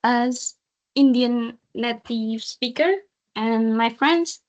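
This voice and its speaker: A young woman with an Indonesian accent presents to a class as a student. Her voice is fairly high and her delivery is hesitant. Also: takes it slowly, a little mellow, dull audio. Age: young adult.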